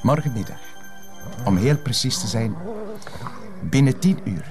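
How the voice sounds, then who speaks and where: An actor playing an apologetic police colleague, speaking hesitantly and plainly with a Flemish accent in naturalistic television drama dialogue. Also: male voice, mid-range voice, lively intonation, unhurried, music in the background. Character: apologetic male police colleague leaving on travels.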